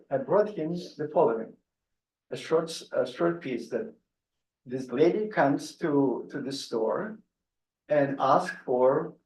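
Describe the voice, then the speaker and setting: A man in his eighties from Odessa, with a Russian accent, telling anecdotes at a book talk in a halting way full of restarts and chuckles. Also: on the high side, darkish tone, echoey room, low-quality recording. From Odessa, Ukraine. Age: 80s. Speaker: author giving a book talk. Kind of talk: conversational anecdotal storytelling.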